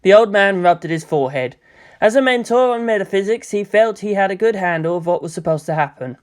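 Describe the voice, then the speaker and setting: Expressive audiobook narrator reading fiction. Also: medium pitch, a little fast.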